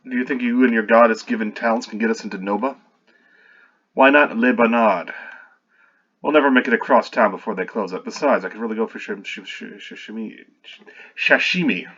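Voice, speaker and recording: A man's voice: fairly low pitch, brisk, a bit bright, highs cut off. A man reading his own screenplay aloud while revising it, stopping often to correct himself and add wry asides.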